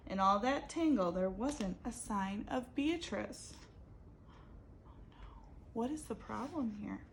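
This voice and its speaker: Slow, lively woman reading a picture book. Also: fairly high pitch. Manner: expressive, pauses to ask the listeners questions. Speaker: reader for children.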